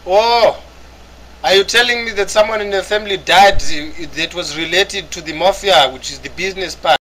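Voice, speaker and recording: A man's voice: sits high, normal speed, bright, forceful tone, low-quality recording. A man in a gaming voice chat, firing blunt, provocative questions at other players. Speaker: guild member on voice chat.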